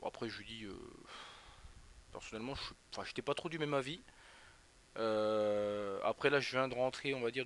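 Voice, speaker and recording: A man's voice: medium pitch, fairly bright tone, a little hiss or hum. A young man from northeastern France streaming live, chatting with viewers by name while casually commenting on a football match. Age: young adult. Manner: rambling, chatty, talks straight to viewers by name. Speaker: Twitch streamer.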